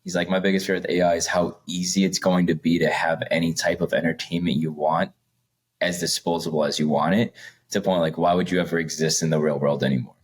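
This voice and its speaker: Young man, very low husky voice, casual rambling podcast chat. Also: level tone, quick pace. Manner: rambling, laughs between points, many fillers. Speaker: podcast co-host.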